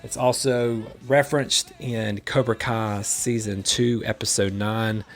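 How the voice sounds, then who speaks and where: A man hosting an informal explainer commentary, halting as he repeats and restarts his phrases, with music behind him. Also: lowish voice, flat delivery. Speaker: host of an eighties film nostalgia series.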